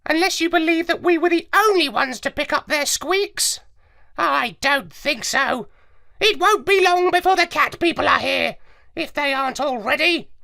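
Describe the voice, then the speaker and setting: A woman voicing a brainy, broody male parrot astronaut, rasping and lecturing in a children's story. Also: very high voice, pushed and bright, no room sound, clean studio sound, voice pushed up, full character voice. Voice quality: rasping, squawky. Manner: lecturing, conspiratorial.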